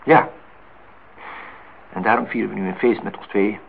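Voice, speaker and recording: A man's voice: fairly low pitch, steady tone, moderate pace, vocal fry. An actor playing a courting bachelor department head in his thirties in a radio play, formal, teasing and a little hesitant, in intimate dialogue. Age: 30s. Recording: tinny, noisy old radio play recording.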